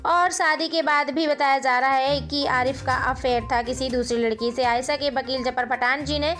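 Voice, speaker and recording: A woman's voice: sits high, fairly even intonation, normal speed, fairly bright tone, faint music behind. A woman presenting news for an online channel, narrating informally and addressing viewers directly.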